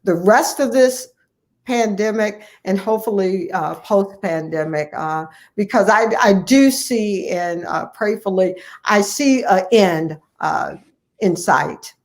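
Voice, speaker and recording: A woman's voice: mid-range voice, slow, a little mellow. An American woman physician answering as an interview guest, earnest and emphatic, repeating key phrases for stress. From United States, Ohio. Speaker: guest, family physician and medical association president-elect.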